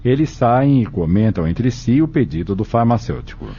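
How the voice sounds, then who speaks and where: A man's voice: mid-range voice, expressive, normal speed, warm tone, husky. A Brazilian man narrating an audio drama from a script. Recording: dull lo-fi audio with music behind. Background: music.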